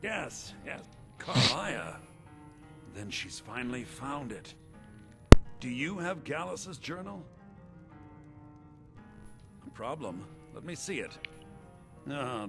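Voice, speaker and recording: An actor playing a knowing, earnest male elven wizard scholar in a fantasy video game, speaking in a measured, expository way. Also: a little high, vocal fry, heavily acted. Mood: eager, fond.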